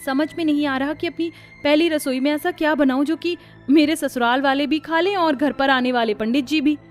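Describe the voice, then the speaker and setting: A woman voicing a poor, humble young food seller in a cartoon story, polite and gentle in manner. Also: high-pitched, normal speed, soft background music, pitched above the performer's own voice, close to an everyday voice. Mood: pondering. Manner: polite, meek. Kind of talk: Hindi cartoon story acting.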